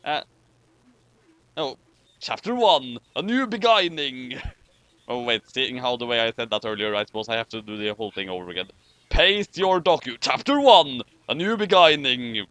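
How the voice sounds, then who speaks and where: A man hosting and narrating in a casual, wordy, deliberate way. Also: mid-range voice, expressive, a bit bright, no echo. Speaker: channel host and narrator. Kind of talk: casual banter and comic story reading.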